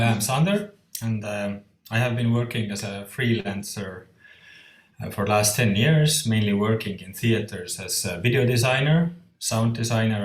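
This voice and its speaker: A man with a fairly low voice gives a plain, brief introduction. His voice comes over a video call from an echoey room. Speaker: head of department studios. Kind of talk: online info session talk.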